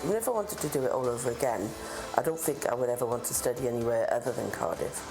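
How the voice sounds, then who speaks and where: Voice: mid-range voice, expressive, fast, music in the background, rough recording. A graduate speaking earnestly about their university and their campaigning. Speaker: alumna or alumnus interviewee. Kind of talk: testimonial.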